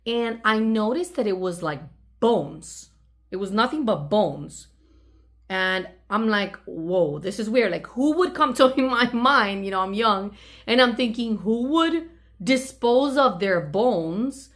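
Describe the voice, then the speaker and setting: A woman's voice: medium pitch, expressive, slow, fairly bright tone. Woman telling a casual personal story. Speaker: video channel host.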